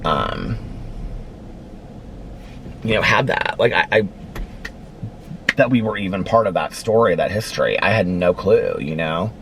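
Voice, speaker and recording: A man's voice: low voice, lively intonation, unhurried, creaky, long pauses. A man with a slightly nasal voice, rambling casually and conversationally in a vlog. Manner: chatty, meandering, conversational. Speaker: vlogger. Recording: loud car and outdoor noise.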